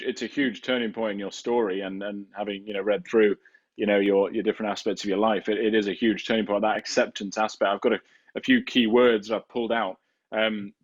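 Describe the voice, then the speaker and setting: Low, flat-voiced man hosting interview. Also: husky, dull audio. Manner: hesitant, many fillers and restarts. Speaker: podcast host. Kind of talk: conversational interviewing.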